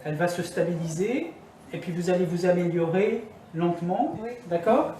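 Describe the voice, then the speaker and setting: A woman's voice: fairly low pitch, normal speed, mellow. A woman working as a hospital doctor, explaining things in everyday spoken language. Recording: echoey room with faint noise.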